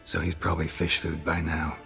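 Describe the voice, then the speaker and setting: Gruff male monster hunter, low gravelly voice, laconic deadpan delivery, fantasy game, music behind. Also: brisk, heavily acted. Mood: wry, flat. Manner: laconic, deadpan, clipped phrasing. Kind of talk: video game cutscene dialogue.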